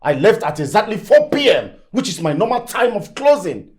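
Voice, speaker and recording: A man's voice: sits high, sing-song, darkish tone. A man playing an irritated husband scolding his wife about the messy house. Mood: annoyed. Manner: scolding, rapid accusing questions. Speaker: actor.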